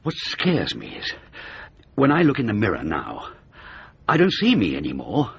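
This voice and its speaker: An actor playing a jaded ageing British comedy writer in a radio drama, wry, wordy and self-pitying, with a husky voice. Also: male voice, lowish voice, huge pitch swings, normal speed, muffled recording, heavily acted. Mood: gloomy, reflective.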